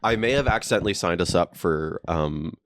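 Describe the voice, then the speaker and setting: Deep-voiced young man, dry teasing. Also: animated, fairly quick, fairly bright tone, dry recording. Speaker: podcast co-host. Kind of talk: casual chat. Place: friend's basement.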